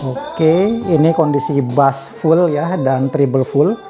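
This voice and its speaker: A man's voice: on the high side, slow pace, over loud music. A man giving a casual spoken product review and audio demo.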